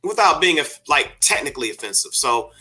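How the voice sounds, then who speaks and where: A man's voice: on the high side, very animated, fairly quick, sharp tone, breathy edge. A man hosting a podcast, talking casually in a meandering way with lots of fillers and restarts. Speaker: podcast host. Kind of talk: casual discussion.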